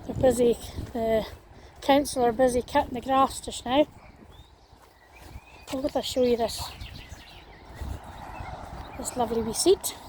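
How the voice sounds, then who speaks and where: Scottish woman, croaky voice, strolls along chatting slow, um-ing a lot, faint outdoor sound behind. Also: fairly high pitch, fairly even intonation, background noise. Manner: hesitant, many fillers and restarts. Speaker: vlogger. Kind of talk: walking vlog chat. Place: outdoors on a path in a small town.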